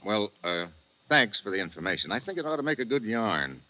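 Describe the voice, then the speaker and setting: A man's voice: lowish voice, sing-song, fairly quick, sharp tone. An actor playing a confident, wisecracking American agent posing as a newsman in a 1950s hardboiled radio drama. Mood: casual, probing. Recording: dull, noisy vintage radio recording.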